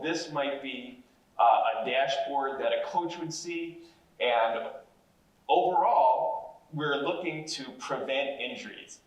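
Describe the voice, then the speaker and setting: A man, a university professor, explaining things to young people in a measured, encouraging way. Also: medium pitch, fairly bright tone. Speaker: university professor giving a public talk. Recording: echoey room, distant mic.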